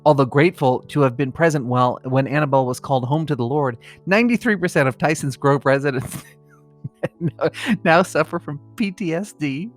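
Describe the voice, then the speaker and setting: A man's voice: a little high, fairly quick, soft background music. A middle-aged man co-hosting a comedy podcast, moving between mock-solemn reading and casual chat. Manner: breaks into laughter while trying to read gravely.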